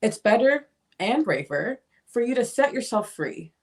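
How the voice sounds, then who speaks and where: A woman's voice: a little high, very animated, a little slow. A woman playing a blunt, free-spirited, opinionated sister in a dramatic play reading. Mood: insistent, preachy. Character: blunt, free-spirited sister pushing self-liberation on her sibling.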